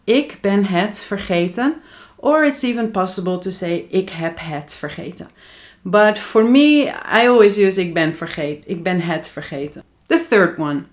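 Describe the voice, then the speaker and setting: Slow-speaking Dutch woman teaching. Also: medium pitch. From Netherlands. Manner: slow, careful pronunciation of example sentences. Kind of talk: language lesson to camera.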